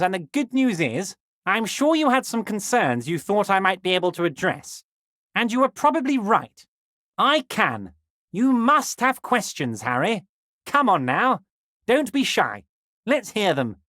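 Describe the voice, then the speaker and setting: An actor playing a smarmy, scheming fat union boss in a video game, with a high-pitched, almost feminine voice and an unctuous, condescending, falsely reassuring manner. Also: male voice, pushed and bright, no echo, clean studio sound, exaggerated voice. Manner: unctuous, condescending, theatrically reassuring, drawn-out emphasis. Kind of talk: video game character dialogue.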